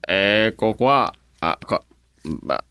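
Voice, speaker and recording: An actor with an Ilocano accent playing a flustered husband who stammers out whiny excuses in a comedic radio drama. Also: male voice, on the low side, big pitch swings, extremely slow, sharp tone, clear, resonant tone, exaggerated voice. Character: flustered, stammering husband defending himself before the chairman.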